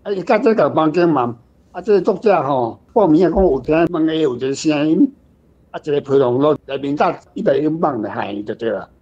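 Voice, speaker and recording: A man with a Taiwanese accent casually discussing a story, halting as he thinks aloud. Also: a little high, animated, dark tone. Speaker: reading club discussion guest. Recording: dull lo-fi sound, guitar music. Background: guitar music.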